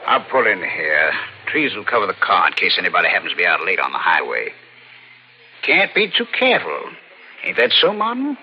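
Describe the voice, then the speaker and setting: Sly American crook, wildly swooping voice. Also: male voice, sits low, huge pitch swings, brisk, a little hiss or hum, full character voice. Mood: smug, conspiratorial. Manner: sly, mock-friendly, insinuating. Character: scheming, menacing crook after his uncle's money. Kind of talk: 1940s radio thriller acting. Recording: dull old radio drama recording.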